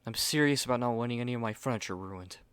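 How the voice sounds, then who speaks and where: Guy doing a snooty fussy young male manga artist, asmr roleplay, curt and precise, mid pitch, dry close sound. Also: fast talker, fairly bright tone, smooth, no room sound, close to an everyday voice. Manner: curt, haughty, articulate. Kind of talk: ASMR roleplay spoken to the listener.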